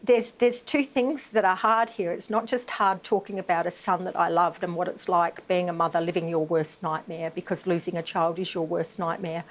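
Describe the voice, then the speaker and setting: Middle-aged woman, lowish voice, passionate advocate talking on air with fillers. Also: level tone, normal speed, hardly any pauses. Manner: emphatic, impassioned, many fillers. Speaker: bereaved mother and veterans' advocate. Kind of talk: live TV interview.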